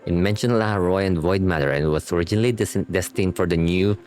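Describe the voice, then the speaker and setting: A man's voice: deep voice, quick pace, a little mellow. A man doing a casual live game reaction, chatting in slangy asides and reading on-screen text aloud over game music. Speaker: streamer reacting to a game story.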